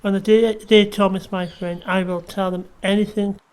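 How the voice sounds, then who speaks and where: A man acts a kindly returned soldier in a 1919 radio play. He speaks haltingly, often doubling his words. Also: high-pitched, little pitch movement, clean tone, lightly acted, rough recording. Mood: grave, kind. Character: kind, halting war-veteran friend in 1919. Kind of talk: audio drama acting.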